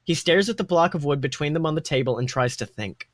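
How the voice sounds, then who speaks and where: A man reading fan fiction aloud as a podcast host, with expressive story narration. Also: fairly high pitch, fast talker, no echo.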